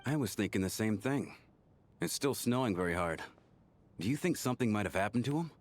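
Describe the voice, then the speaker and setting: A man's voice: fairly low pitch, expressive, fairly quick, lightly acted. An actor playing a casual, skeptical man in a video game, with a wry, teasing way of talking. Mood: wondering.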